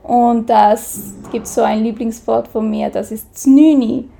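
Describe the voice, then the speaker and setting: A woman's voice: fairly high pitch, steady tone, slow pace, creaky, warm tone. A woman from Switzerland with a Swiss German accent, a guest in a casual interview, talking hesitantly with many fillers and laughing often.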